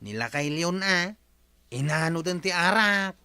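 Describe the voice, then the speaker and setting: Gossipy, blunt village man, bright forceful voice on the higher side, dry sound, radio comedy. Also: animated, measured pace, clear, resonant tone, no room sound, exaggerated voice. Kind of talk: comedic radio drama acting.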